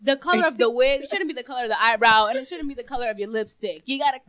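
A woman on a podcast chatting casually, laughing often as she tells animated stories. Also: a little high, racing. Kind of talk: casual chat.

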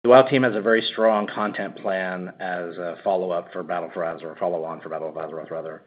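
Deep, husky male executive, slightly muffled. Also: fairly even intonation, quick pace. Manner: conversational, frequent "you know". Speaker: company executive. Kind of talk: earnings call answer, semi-prepared. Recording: slightly dull conference call audio.

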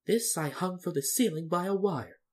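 A man's voice: high voice, animated, normal speed, voice pushed up. A man voicing a little old humbug wizard, meek, fussy and apologetic, with a trembling voice. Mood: confiding.

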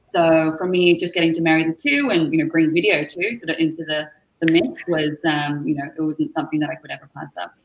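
A woman's voice: low-pitched, fairly even intonation, a little fast, hardly any pauses, a little hiss or hum, rough recording. A woman with an Australian accent, chatting as an upbeat guest on an interview show, with lots of fillers. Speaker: guest, partner manager. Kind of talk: casual interview chat.

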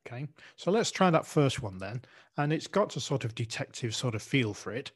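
A man hosting an English lesson podcast, speaking at an ordinary middle pitch. He explains phrases patiently and spells out words letter by letter for his listeners. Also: big pitch swings, moderate pace, husky. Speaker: podcast host, English teacher. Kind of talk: language lesson podcast chat.

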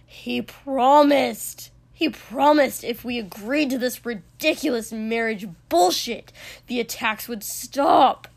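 A woman voicing a furious, volatile young man in an audiobook story, with a forceful, explosive delivery. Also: a little high, slow, gravelly, heavily acted. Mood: furious, pleading.

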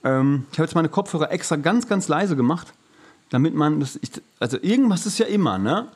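A man with a voice a little higher than average talks as a podcast co-host. His style is casual comedic chat, jokey and teasing, made for trading flat one-liners. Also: fairly quick, husky.

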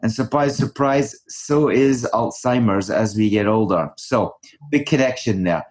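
A man's voice: on the low side, a little slow, a little mellow. Upbeat chatty man hosting a podcast. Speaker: podcast host, physician. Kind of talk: podcast interview.

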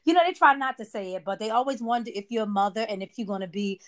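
A woman's voice: mid-range voice, fast. A woman co-hosting a casual chat, making her points emphatically and drawing responses in a preachy call-and-response way.

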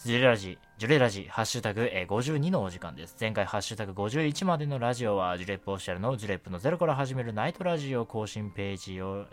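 A man hosting a casual, chatty night radio show in a relaxed, gently self-deprecating way. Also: lowish voice, racing, hardly any pauses, soft background music.

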